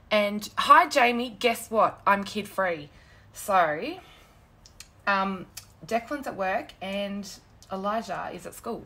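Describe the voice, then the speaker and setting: Woman, young adult, croaky sore throat, chatty, laughs a lot, live stream at home, phone mic. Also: mid-range voice, pushed and bright. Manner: chatty, laughs often, greets commenters by name. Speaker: paper-craft demonstrator hosting a live stream. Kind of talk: casual live-stream chat with viewers. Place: quiet home, at her desk. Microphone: phone camera.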